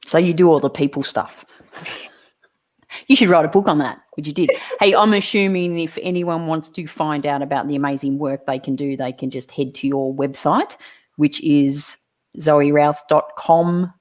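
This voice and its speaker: A woman's voice: sits low, moderate pace, a little mellow. A woman hosting a live online interview, enthusiastic and encouraging toward her guest. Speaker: host.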